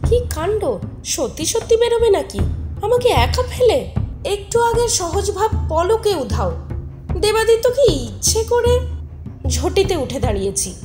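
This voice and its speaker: A woman's voice: very high voice, a little slow, heavily acted. A woman playing a flustered young married woman, narrating an audio story of secret love with intimate emotion. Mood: agitated. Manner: expressive, emotional, intimate first-person telling. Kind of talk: dramatised short-story narration with acted dialogue. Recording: music behind the voice. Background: soft music.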